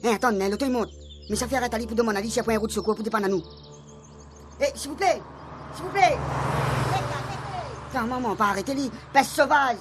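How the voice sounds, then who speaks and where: An excitable comic sidekick with a thick-slanged Réunion Creole accent. He argues and chatters very quickly, over clear background noise, in a radio comedy. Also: extremely high pitch. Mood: urgent. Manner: animated, slangy, argumentative. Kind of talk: comic radio serial acting.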